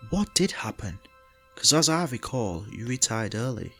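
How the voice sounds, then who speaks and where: An actor playing a polite, inexperienced young detective in a podcast drama, formal and slightly hesitant. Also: male voice, mid-range voice, sing-song, normal speed, a bit bright, faint music behind. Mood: eager, respectful.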